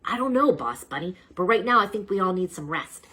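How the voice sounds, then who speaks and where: A small, brave classroom-pet hero from a storybook, voiced by a woman speaks earnestly and gently. The voice is a little husky and lilting. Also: fairly low pitch, animated, brisk, exaggerated voice. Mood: tired.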